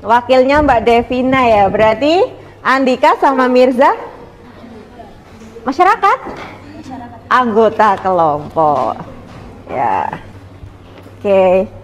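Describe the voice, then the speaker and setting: A woman teacher speaks. She guides pupils informally, giving gentle instructions and checking in with short questions. The room rings with reverb and there is some background noise. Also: fairly high pitch, big pitch swings, slow, long pauses. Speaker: school teacher guiding student groups. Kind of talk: informal classroom coaching. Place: indoor school classroom.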